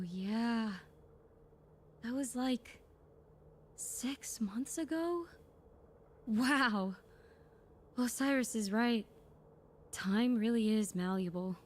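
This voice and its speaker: A woman's voice: fairly high pitch, very slow, pauses often. A woman acting a weary, bookish, dryly sardonic soldier-scholar in a sci-fi audio drama, with natural, hesitant conversational delivery. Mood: awkward, softening.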